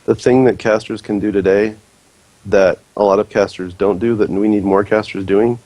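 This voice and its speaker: A man's voice: on the low side, fairly even intonation, moderate pace, dark tone, no echo, poor audio. A man speaking earnestly and persuasively on a casual podcast, with many fillers. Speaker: podcast guest, league executive.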